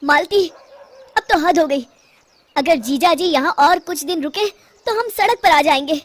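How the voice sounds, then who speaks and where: A woman's voice: sits high, expressive, unhurried, background noise. A woman voicing a put-upon, whining young husband and office worker in a cartoon story. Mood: dismayed.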